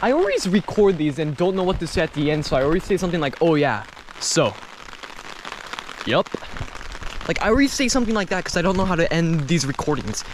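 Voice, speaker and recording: A man's voice: sits high, big pitch swings, quick pace, slight background noise. A young man chatting casually to camera as a travel vlogger, rambling and self-deprecating. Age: young adult. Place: outdoors at a rural mountain train station in rain.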